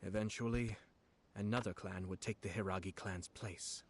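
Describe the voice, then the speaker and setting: Low-voiced, composed noble lord. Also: male voice, fairly even intonation, breathy edge. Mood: analytical. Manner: measured, formal, deliberate. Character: composed, shrewd young noble clan lord, calculating and polite. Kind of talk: anime game voice acting, expository dialogue. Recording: loud game music behind the voice.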